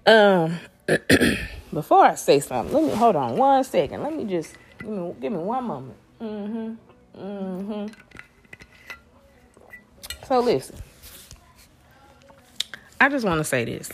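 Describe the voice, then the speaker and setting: A woman's voice: medium pitch, big pitch swings, takes it slowly, long pauses, slight background noise. A woman hosting a casual solo podcast, talking bluntly with lots of swearing, restarts and a stuffy, congested nose. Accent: Southern US. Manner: blunt, profane, rambling with restarts. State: congested from allergies. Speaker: podcast host.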